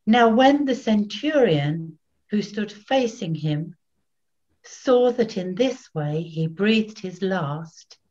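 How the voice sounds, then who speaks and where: A woman in her sixties giving a solemn, measured scripted Bible reading as narrator, recorded over a video call at home. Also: medium pitch, lively intonation, darkish tone. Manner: measured, deliberate. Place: small room at home. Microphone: video call, computer at arm's length. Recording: dull webcam video call audio.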